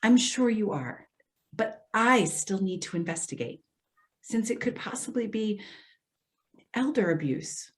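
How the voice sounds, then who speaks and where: A woman's voice: mid-range voice, expressive, a little slow, pauses often, natural voice. Actress as female social worker, earnest, cautious, mid-range voice, hesitant but insistent, stage reading. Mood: careful. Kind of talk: readers theater stage acting.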